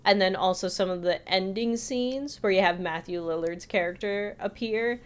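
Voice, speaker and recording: A woman's voice: mid-range voice, normal speed, clear, resonant tone. A woman co-hosting a podcast, talking through a film review in a casual, chatty, hedging way. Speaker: podcast co-host.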